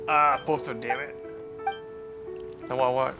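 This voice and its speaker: A man livestreaming a game with casual, halting commentary, thinking aloud as he plays. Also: medium pitch, steady tone, dull audio. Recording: loud game music behind the voice. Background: loud game music.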